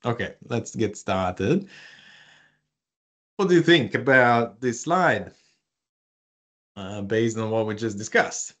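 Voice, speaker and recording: A man with an Italian accent leads a live online workshop over a video call. His voice sits a little low, and he talks in a loose, chatty way full of fillers and restarts, joking with his audience as he goes. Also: lively intonation, slow pace, pauses often, fairly bright tone. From Italy. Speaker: research communication presenter.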